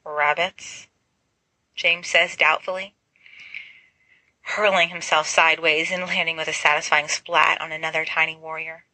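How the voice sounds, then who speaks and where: A woman reading fan fiction aloud as an expressive amateur audiobook narrator. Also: sits low, moderate pace, no echo, low-quality recording. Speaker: volunteer audiobook reader.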